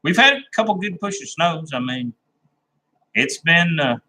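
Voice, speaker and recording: Bright, chatty laid-back man. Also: a little high, animated, measured pace, a little hiss or hum. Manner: rambling and laid-back, with many "uh"s and restarts. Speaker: livestream host chatting with viewers. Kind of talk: casual live-stream chat.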